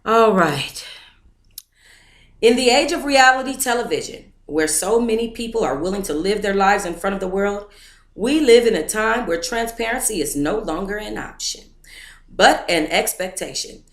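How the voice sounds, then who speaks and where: A woman's voice: mid-range voice, animated, moderate pace. A woman hosting a book club, reading a book aloud on a live stream and chatting casually with her viewers between passages. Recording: echoey room.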